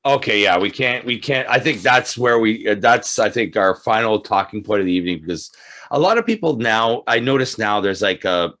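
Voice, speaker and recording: A man's voice: on the low side, lively intonation, fairly quick, fairly bright tone. A man hosting a casual film discussion, agreeable and conversational, often echoing what he hears. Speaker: host.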